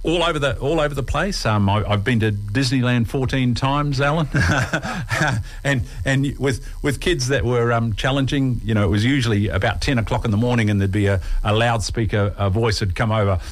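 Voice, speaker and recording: A friendly New Zealand man in his sixties talks easily as a radio guest. His voice sits at an ordinary middle pitch. He often repeats his words and uses the host's name. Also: normal speed. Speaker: interview guest, radio presenter. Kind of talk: casual radio interview.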